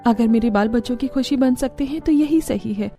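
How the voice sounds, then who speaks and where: A woman playing a poor, gentle, self-sacrificing young mother in a cartoon story, speaking softly and earnestly. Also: fairly high pitch, brisk, faint music behind, close to an everyday voice. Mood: resigned.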